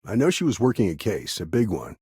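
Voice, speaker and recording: An actor playing a gruff, streetwise frontier lawman in a video game, with an American accent, a gravelly, husky voice and a dry, plain-spoken manner. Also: lowish voice, huge pitch swings, a little fast, dark tone, no echo, heavily acted. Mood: wary, guarded. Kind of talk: video game character dialogue.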